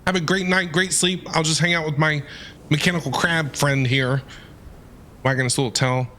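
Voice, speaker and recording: A male game streamer with a high-pitched voice. He comments casually on the playthrough, talking back to the story and thinking out loud in a chatty way. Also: steady tone, moderate pace, slight background noise. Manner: chatty, reacting aloud.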